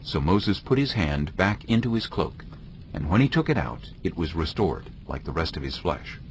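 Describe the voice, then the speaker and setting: A man's voice: low-pitched, very animated, moderate pace, slight background noise, rough recording. A man narrating a dramatized scripture reading in a scripted storytelling style.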